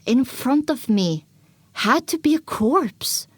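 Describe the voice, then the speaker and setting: A woman's voice: high-pitched, lively intonation, takes it slowly, slightly rough, dry recording. A woman narrating an audio drama in the first person, with a dramatic scripted delivery.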